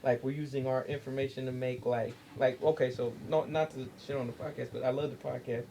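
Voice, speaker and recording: A man's voice: mid-range voice, little pitch movement, brisk, creaky, mellow. Slangy man talking over background noise. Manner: slangy, profanity-laden, insistent explaining. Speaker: podcast co-host. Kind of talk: casual group chat.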